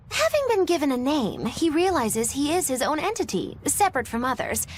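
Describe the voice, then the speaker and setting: A woman's voice: high voice, a little hiss or hum. A woman playing a sly, theatrical young witch in an anime game dub, speaking in an arch, wordy, teasing way.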